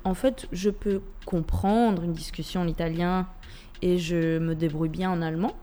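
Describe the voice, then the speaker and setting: A woman's voice: on the low side, steady tone, moderate pace, mellow. A woman from Switzerland with a Swiss French accent, a radio guest who talks with many hesitations and restarts. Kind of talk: radio interview.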